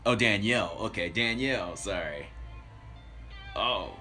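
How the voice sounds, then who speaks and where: A man's voice: lowish voice, unhurried, bright, forceful tone. A man chatting casually as a livestream host, rambling as he tells stories and talks to his viewers. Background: background music.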